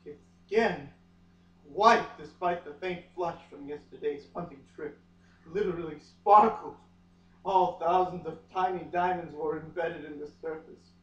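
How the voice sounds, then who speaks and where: Guy doing a super melodramatic book reading, really high voice, far from the mic in an echoey room. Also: fairly bright tone, dull audio. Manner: theatrical, overwrought, lingering dramatic pauses. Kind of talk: melodramatic comic dramatic reading of a novel.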